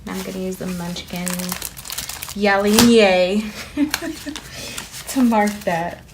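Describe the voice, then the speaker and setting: A woman whose first language is Spanish talks slowly and rambles, full of fillers. Her voice sits at a middling pitch over a clearly audible steady noise, with paper crinkling in the background. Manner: rambling, chatty, with many fillers. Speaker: planner vlogger. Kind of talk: casual chat while decorating a planner. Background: sticker and paper crinkling.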